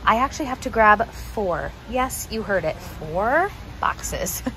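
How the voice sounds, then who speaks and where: A woman's voice: medium pitch, animated, slow pace, a bit bright. A young adult American woman chatting casually and informally to viewers, like a shopping vlogger. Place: large indoor warehouse store. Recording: noisy warehouse store background hum.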